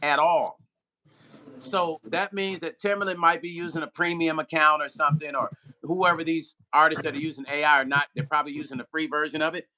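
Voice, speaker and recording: A man hosts a loose, animated livestream conversation and stops to read viewer comments aloud. His voice is bright and sits a little high. A faint hiss runs underneath. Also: fairly quick, low-quality recording. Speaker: livestream host. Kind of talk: casual livestream chat.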